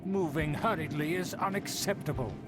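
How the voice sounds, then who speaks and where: A man's voice: on the high side, normal speed, lightly acted. An actor voicing a haughty, pompous nobleman in a video game, speaking formally and imperiously over music. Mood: haughty, self-assured. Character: haughty, pompous nobleman of a fallen aristocratic clan. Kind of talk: video game character acting. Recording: music behind the voice.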